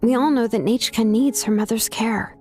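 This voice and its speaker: An actress playing a young woman smith in a game, easygoing and teasing, with soft game music behind her. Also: on the high side, normal speed, lightly acted. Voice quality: relaxed, casual, teasing. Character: young woman blacksmith, easygoing and laid-back. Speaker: name engraver of the tribe. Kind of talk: game dialogue.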